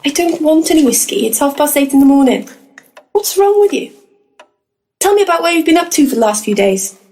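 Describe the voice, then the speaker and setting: A woman playing a keen but exasperated young assistant to ghost hunters in a comic audio drama, brisk and indignant. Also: sits high, expressive, darkish tone.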